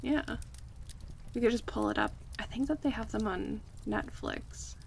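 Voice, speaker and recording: A woman playing an affectionate, playful close friend in a casual, relaxed ASMR roleplay, chatting and teasing in a conversational way. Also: on the high side, measured pace, creaky, a little hiss or hum, exaggerated voice.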